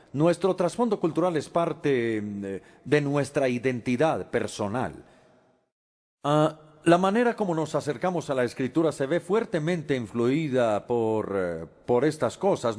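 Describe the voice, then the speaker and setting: A man giving a lecture as a Spanish voice-over, measured, with frequent pauses and hesitations. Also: medium pitch, darkish tone.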